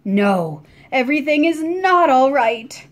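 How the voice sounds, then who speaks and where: A worn-out storybook landlady groans and frets in a high, strained voice, as a woman reader puts her on. She sounds exasperated and bone tired, but kindly underneath. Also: unhurried, fairly bright tone. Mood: tearful.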